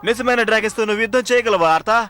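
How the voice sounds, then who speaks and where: A man voicing an eager, chirpy young gadget-tinkering sidekick in a dubbed animated series. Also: high voice, very fast, faint music behind. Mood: excited, chatty. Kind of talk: animated series dub acting.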